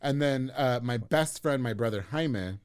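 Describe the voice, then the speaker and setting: A man's voice: medium pitch, smooth. A man in his thirties hosting a casual podcast chat, telling stories with laughter. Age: 30s. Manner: animated, laughing, quick storytelling. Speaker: podcast host.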